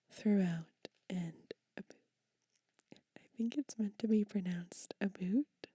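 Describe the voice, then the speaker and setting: A woman whispers slowly and breathily, her mellow voice kept soft and close. She reads verses and chats between them in a hushed ASMR style. The sound is dry, with no room around it. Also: mid-range voice, breathy edge, dry recording. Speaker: ASMR reader. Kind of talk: whispered ASMR book reading.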